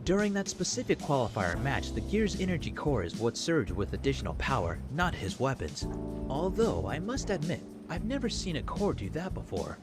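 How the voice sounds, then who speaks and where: A man's voice: medium pitch, wildly swooping pitch, normal speed. A man voicing a composed male professor who lectures in a formal, explanatory way in a visual novel. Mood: formal, measured. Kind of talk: acted visual novel dialogue. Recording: music under the voice.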